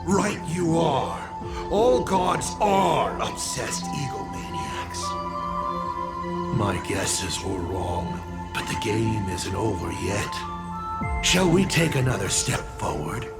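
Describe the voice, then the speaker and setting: A man's voice: lowish voice, lively intonation, slow, over loud music. An actor playing a taunting, theatrical villain in a video game, drawling mockingly as he poses riddles. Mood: sly, taunting.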